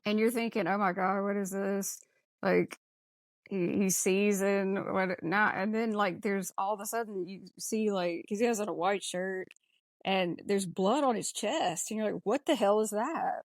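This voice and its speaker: A woman's voice: medium pitch, measured pace, no echo, clean studio sound. A woman co-hosting a podcast, chatting casually and telling a story in an informal, mildly sweary way. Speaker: podcast co-host. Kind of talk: casual film discussion chat.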